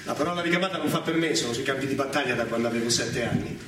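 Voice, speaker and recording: An actor playing an ardent, plain-spoken veteran general, declaiming emphatically in a theatrical stage style. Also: male voice, medium pitch, racing, a bit bright, natural voice. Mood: jubilant, commanding. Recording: noisy, very echoey distant stage recording.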